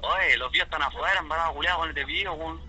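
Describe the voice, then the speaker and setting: High-pitched, confrontational Chilean man on phone. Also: fast. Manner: street slang, confrontational, foul-mouthed, repetitive. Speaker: prank call recipient. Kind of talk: heated informal phone argument. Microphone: phone call. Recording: dull telephone line with music behind.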